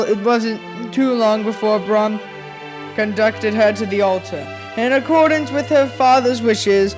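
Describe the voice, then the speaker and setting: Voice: medium pitch, natural voice, low-quality recording. A young student narrating a ghostly tale for a school radio play in a formal, dramatic storytelling manner. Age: child or young teen.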